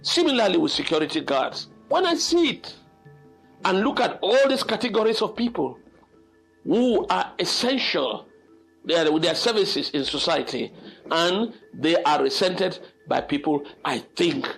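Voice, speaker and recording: An older man hosting an informal storytelling session, reflecting aloud in an expressive, dramatic, exclamatory way. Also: high voice, unhurried, creaky, breathy edge, soft background music. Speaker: storyteller host.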